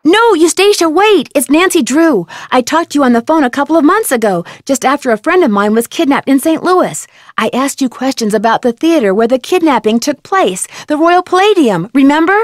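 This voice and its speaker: A woman's voice: sits high, expressive, brisk, dry recording, clean studio sound. A woman playing a polite, earnest and inquisitive young female detective in a video game. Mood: excited, urgent. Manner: polite, eager, explanatory. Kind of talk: video game character acting.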